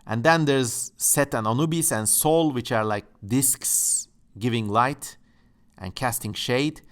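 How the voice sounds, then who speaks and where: A man speaking English hosts his channel from home, his voice sitting at an ordinary middle pitch. He muses along casually and playfully, with a tongue-in-cheek, joking manner. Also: takes it slowly. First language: Turkish. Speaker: channel host. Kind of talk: casual exploratory commentary.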